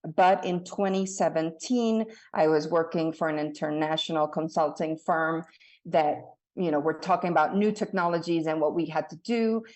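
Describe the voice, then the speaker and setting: Low-voiced woman telling her story. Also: fairly even intonation, normal speed, darkish tone. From grew up in Latin America. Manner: earnest, with frequent restarts. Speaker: interview guest. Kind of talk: casual conversational storytelling.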